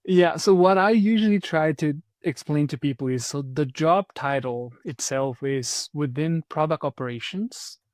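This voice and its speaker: Man from Brazil, somewhat high voice, dry close sound, thoughtful interview answers with small restarts. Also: normal speed, hardly any pauses, darkish tone, no echo. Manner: thoughtful, repeats words while searching for them. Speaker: podcast guest, program manager.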